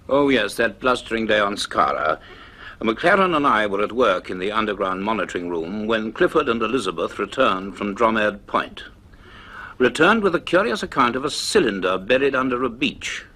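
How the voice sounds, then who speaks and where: A formal male professor in a radio drama, dry, grave, measured and in charge. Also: on the low side, animated, bright, forceful tone. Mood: reflective. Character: grave, dryly authoritative male university professor recording his notes. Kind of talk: dramatised narration in a radio serial.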